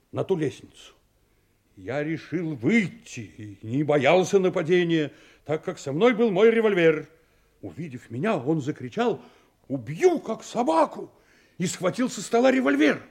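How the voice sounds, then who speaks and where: Husky, agitated sailor confessing a killing. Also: male voice, on the high side, a little mellow, full character voice. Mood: shouting, excited. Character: agitated sailor confessing to a shooting, guilt-ridden. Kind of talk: dramatic monologue in an audio play.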